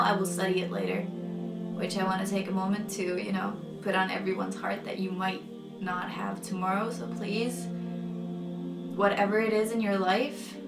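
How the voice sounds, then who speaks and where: A woman who is not a native English speaker tells her personal story earnestly, pausing often with fillers. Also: mid-range voice, gravelly. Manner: earnest, hesitant with many fillers. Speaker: convert telling her faith story. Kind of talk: personal testimony, casual. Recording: roomy, distant mic, music behind.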